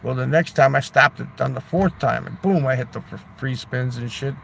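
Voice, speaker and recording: A man's voice: medium pitch, fairly quick, a bit bright, slight background noise. A man telling a long casual story, loose and chatty, swearing freely and tossing in "right?" and "man". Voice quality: gravelly. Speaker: livestreamer.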